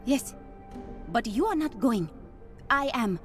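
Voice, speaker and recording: An actress playing a fierce, confident young princess and inventor in a video game, with an African accent and a brisk manner. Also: female voice, a little high, big pitch swings, soft background music. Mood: fierce, determined. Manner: brisk, self-assured. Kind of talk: video game cutscene acting.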